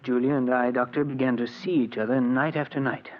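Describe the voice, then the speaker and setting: A man playing a soft-spoken, self-justifying murderer in 1940s radio drama, speaking in a measured, polite, earnest way. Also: mid-range voice, steady tone, soft background music, lightly acted. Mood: reflective, confessional. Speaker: actor playing narrator and lead character. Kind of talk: 1940s radio drama acting, confessional narration. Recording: dull, noisy old radio recording.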